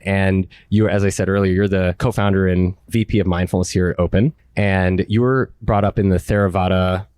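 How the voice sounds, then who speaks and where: A deep-voiced man hosts a panel, laying out ideas with eager enthusiasm before turning to his guest. His low voice sounds dry and close-miked. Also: fairly even intonation, fairly quick, hardly any pauses, a little mellow, clear, resonant tone, no echo. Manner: enthusiastic, explanatory, asks long framing questions. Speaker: panel moderator. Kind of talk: moderated panel conversation.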